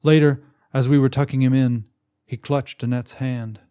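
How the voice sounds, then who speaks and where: A man narrating a first-person short story in a measured, expressive way with dramatic pauses. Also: medium pitch, clean tone. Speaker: audiobook narrator.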